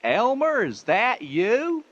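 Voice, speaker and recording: An excitable country fellow in radio comedy. His high, swooping voice has a folksy Southern US drawl, on a dry, slightly muffled recording. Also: slow pace, a little hiss or hum, full character voice. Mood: surprised, folksy. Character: excitable, glum rural Southern man, captive neighbor. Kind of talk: radio comedy drama acting.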